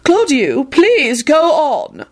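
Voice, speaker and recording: A woman's voice: high voice, wildly swooping pitch, drawn-out words, a little mellow, pitched above the performer's own voice, full character voice. Woman doing a dreamy, melodramatic old witch teacher. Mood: self-righteous. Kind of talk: storybook character voice.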